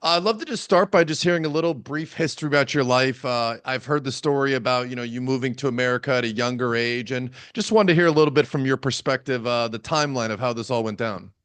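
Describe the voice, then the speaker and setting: Man, interviewer, polite, friendly questions, mid pitch, brisk delivery. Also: a bit bright, clear, resonant tone, no echo.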